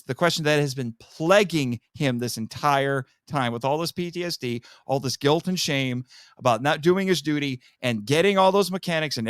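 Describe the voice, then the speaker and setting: A man giving an animated, casual plot recap as a podcast guest, telling it dramatically and acting out the characters' lines. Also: medium pitch, clean tone, dry recording, studio quality. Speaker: podcast guest reviewing a film.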